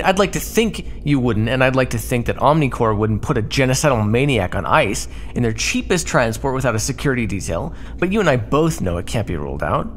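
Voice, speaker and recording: A man playing a prickly, sarcastic starship crewman in an ASMR roleplay, talking with quick-tempered exasperation. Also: mid-range voice, a little hiss or hum, close to an everyday voice.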